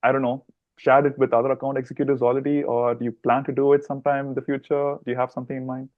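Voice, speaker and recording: A man's voice: medium pitch, little pitch movement, quick pace, no room sound, narrow, tinny audio. A man hosting a podcast, laughing often and asking long, rambling questions. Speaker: podcast host. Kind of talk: casual interview questions.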